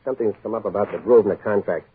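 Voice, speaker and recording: An actor playing a brisk, excitable newspaper office man in 1940s radio drama style. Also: on the low side, slight background noise, lightly acted. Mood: brisk, businesslike. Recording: muffled tinny 1940s radio recording.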